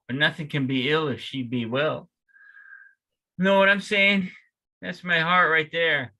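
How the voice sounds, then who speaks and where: An anguished young man behind bars, played by a man at a table read, speaks in a high, piercingly bright voice. He takes his street-smart stage lines slowly, over a video call. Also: steady tone, exaggerated voice, low-quality recording. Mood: tender. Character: anguished young jailed lover in a modern Romeo retelling.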